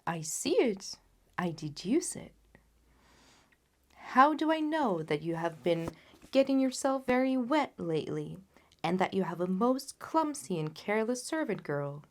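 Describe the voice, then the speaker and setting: A woman's voice: mid-range voice, sing-song, unhurried, a little mellow. A woman voicing a keen, self-assured male detective with a precise, teasing, knowing manner in an audiobook. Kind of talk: audiobook character voice.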